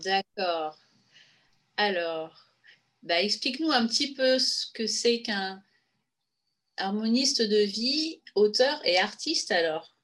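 A woman's voice: medium pitch, lively intonation, takes it slowly, long pauses, fairly bright tone. A woman hosting a podcast interview, asking questions casually with a few fillers. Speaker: podcast host and coach.

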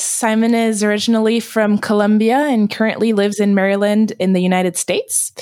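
A woman's voice: medium pitch, darkish tone, no room sound, clean studio sound. A woman hosting a podcast, reading a scripted introduction and putting questions to a guest. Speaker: podcast host.

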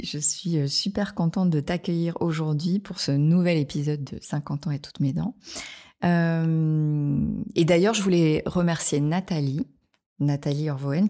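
A woman's voice: deep voice, dark tone. A woman hosting a podcast as a career coach, reading an upbeat, emphatic scripted introduction and then greeting her guest.

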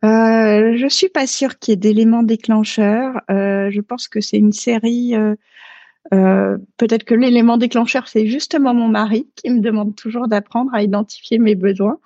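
A woman's voice: a little high, fairly even intonation, moderate pace, warm tone, dry recording, highs cut off. A French woman in her thirties, a podcast guest talking casually and hesitantly, with many fillers and restarts. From France. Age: 30s. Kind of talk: casual interview talk.

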